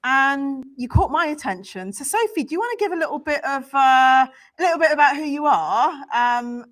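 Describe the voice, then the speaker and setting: British woman host, slightly high voice, bubbly and rambling. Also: animated, moderate pace, a bit bright. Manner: bubbly, rambling, playful word slips. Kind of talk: casual live-stream interview. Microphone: video call.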